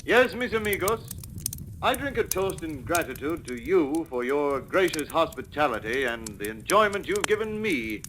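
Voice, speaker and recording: An actor with an American accent playing a grateful, formal American guest in a 1940s radio advert. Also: male voice, fairly high pitch, sing-song, moderate pace, fairly bright tone. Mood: grateful, earnest. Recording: faintly crackly vintage radio recording.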